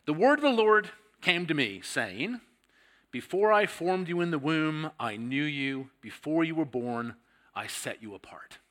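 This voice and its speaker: A man's voice: a little high, animated, measured pace, fairly bright tone. A middle-aged man with a Canadian accent, preaching a sermon as a guest pastor in a conversational, self-deprecating way.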